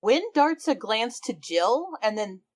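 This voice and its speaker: A woman playing a tabletop game, casually describing her character in a lively way and swearing freely. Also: a little high, measured pace. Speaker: player.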